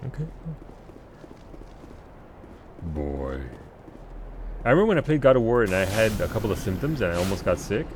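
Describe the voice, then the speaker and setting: A man's voice: on the low side, sing-song, moderate pace, pauses often, background noise. A man casually chatting to his viewers while playing a game live, rambling and laughing at himself. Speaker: game streamer. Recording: game music playing underneath. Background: game music.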